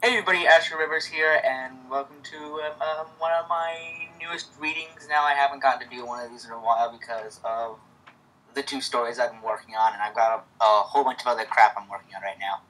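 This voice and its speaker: Casual man with a stutter and a mid-pitched voice, chatting, then reading a story aloud. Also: steady tone, fast talker, bright, forceful tone, poor audio. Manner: stutters, with restarts.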